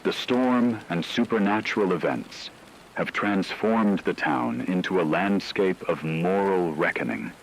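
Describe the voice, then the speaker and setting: Man playing a deep, grave radio horror reporter. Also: slight background noise, low-quality recording. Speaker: radio news anchor. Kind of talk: scripted dramatic radio news narration.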